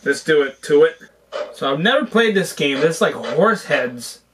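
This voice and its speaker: A hoarse young man casually reviewing a VR headset at home, exclaiming through gameplay. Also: a little high, normal speed, noisy background. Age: young adult. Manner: excited exclamations, chatty. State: hoarse from being sick. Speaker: tech reviewer and VR gamer. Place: small home room with shelves, indoors.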